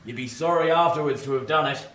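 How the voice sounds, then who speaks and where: An actor playing a rough, emotional convict of about sixty in a radio play, with a working-class English accent and halting rustic speech. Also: male voice, a little high, fairly bright tone, lightly acted. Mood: sly, probing. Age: 60s. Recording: distant, echoey, faint hiss.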